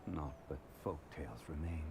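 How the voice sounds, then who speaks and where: A deep, solemn ancient god. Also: male voice, creaky. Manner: formal, measured, solemn. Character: ancient dignified male god in human form, calm. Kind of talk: game cutscene dialogue. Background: game music.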